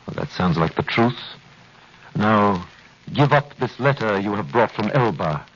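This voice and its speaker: A man's voice: lowish voice, animated, unhurried. A man playing an authoritative, calculating royal prosecutor with a clipped, formal manner, in 1930s radio drama style. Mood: measured, coaxing. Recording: muffled, noisy 1930s radio recording.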